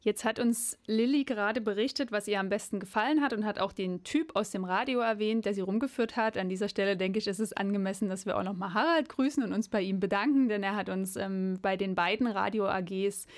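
A woman's voice: medium pitch, a little fast, hardly any pauses. A woman hosting a radio programme, introducing segments and putting questions to her guest. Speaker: radio show host. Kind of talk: radio moderation and interview.

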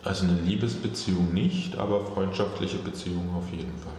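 Deep-voiced man with heavy reverb. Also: fairly even intonation, a little fast, darkish tone, a little hiss or hum. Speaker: street interviewee. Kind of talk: unscripted vox pop answer.

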